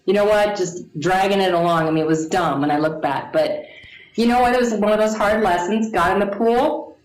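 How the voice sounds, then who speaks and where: A woman in her forties telling her story to an interviewer, animated, laughing often and acting out the people she quotes. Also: medium pitch, brisk, a little hiss or hum. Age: 40s. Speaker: guest. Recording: echoey room.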